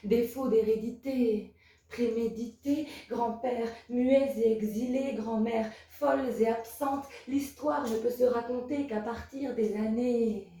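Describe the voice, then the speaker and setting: Echoey, slow-speaking woman reciting slam poetry. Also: on the high side, steady tone, dark tone. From Belgium. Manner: measured, rhythmic, incantatory delivery with repeated refrains. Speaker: slam poet. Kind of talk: spoken-word poetry recital. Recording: very echoey, distant from the mic.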